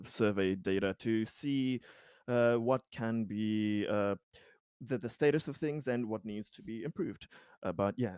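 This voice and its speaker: A man voicing a polite, measured corporate executive in a tabletop sci-fi game, with halting phrasing. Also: lowish voice, a little mellow, clean tone, dry recording, lightly acted. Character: Conrad, smooth, polite corporate executive posing as surveyor.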